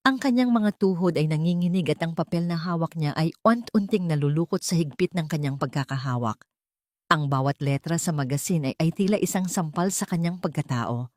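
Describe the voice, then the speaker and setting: A woman narrates a dramatic scripted story in measured, emotive phrases. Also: low voice, a little mellow, no echo, studio quality.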